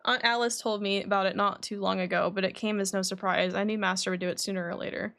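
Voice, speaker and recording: A woman voicing a composed, scholarly young male alchemist from a video game, reading his dialogue in a measured, formal, reflective way. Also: mid-range voice, fairly even intonation, vocal fry, fairly bright tone. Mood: measured, earnest.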